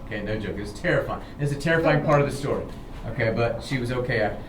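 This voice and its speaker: A man's voice: mid-range voice, quick pace, distant mic. American man telling stories, roomy noisy recording. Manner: animated, dramatic, repeats "okay" to check in. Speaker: storyteller, show host. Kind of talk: informal personal storytelling to listeners.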